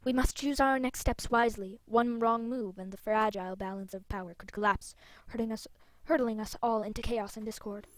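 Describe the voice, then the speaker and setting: A woman's voice: on the high side, fairly even intonation, fairly quick, no room sound, close to an everyday voice, poor audio. Game role: solemn, formal leader of riders, grave and wise, a woman reading.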